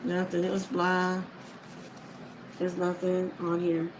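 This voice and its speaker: A woman's voice: on the low side, completely flat delivery, slow pace, noisy background. A woman chatting casually to viewers in an informal, meandering way, often trailing off mid-thought. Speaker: reader of books for listeners. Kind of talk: casual rambling chat to viewers.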